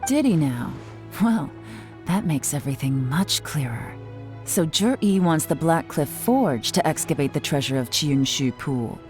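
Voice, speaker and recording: A shrewd video game investigator. The voice is low for a woman, composed and analytical. Lines come out measured and knowing over background music. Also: lively intonation, a little mellow.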